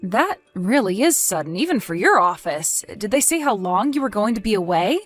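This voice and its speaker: A woman's voice: mid-range voice, big pitch swings, normal speed, soft background music. A woman playing a caring, cautious and practical wife, fussing conversationally in an audio drama.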